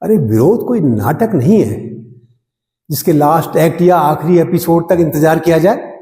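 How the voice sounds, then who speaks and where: A man acting an impassioned, bitterly ironic army defence lawyer in a theatrical courtroom monologue, oratorical, with emphatic repetition and dramatic pauses. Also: fairly high pitch, expressive, dark tone, lightly acted. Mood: indignant. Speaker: actor performing an audition monologue. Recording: echoey reverberant room.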